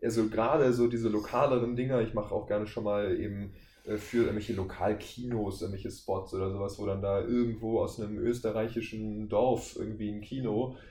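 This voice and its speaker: A man's voice: lowish voice, little pitch movement, brisk, dark tone. A man speaking as a podcast guest, explaining things casually and at length in a discursive, circling way. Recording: echoey room, faint background noise.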